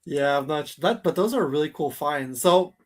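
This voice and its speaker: A man's voice: fairly high pitch, lively intonation, normal speed. Easygoing man in casual chat. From Quebec, Canada. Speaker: co-host of a geek culture livestream.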